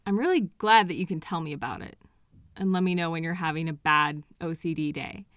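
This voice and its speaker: A woman's voice: sits low, fairly bright tone, dry recording, clean studio sound. A woman playing a loving, patient girlfriend in an intimate comfort roleplay, speaking to her anxious partner in a tender, reassuring manner. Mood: earnest, reassuring. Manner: tender, reassuring, unhurried pauses with sighs. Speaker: audio roleplay performer.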